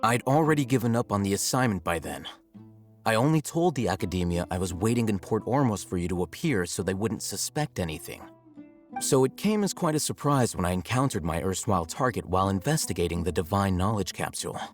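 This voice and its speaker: Man as a low-voiced, aloof scholar. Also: lively intonation, soft background music, natural voice. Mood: detached, explaining. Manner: measured, articulate, detached. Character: cool, aloof, calculating young male scholar. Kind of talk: video game voice acting.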